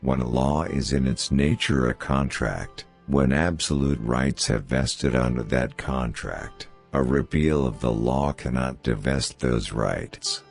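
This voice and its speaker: A man reads famous quotations as a scripted narration, in a measured, formal manner. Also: rumbling low, vocal fry. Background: background music.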